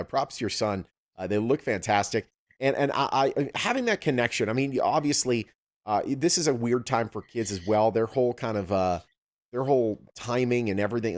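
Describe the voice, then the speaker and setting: Male morning show host, very lively pitch movement, effusive, casual interview chat. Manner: enthusiastic, effusive.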